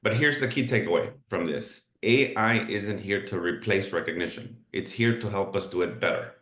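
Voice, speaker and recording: Guy presenting a webinar, spanish accent, lowish voice, kinda echoey room. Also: moderate pace, a bit bright. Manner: earnest, explanatory, with filler restarts. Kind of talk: live webinar talk.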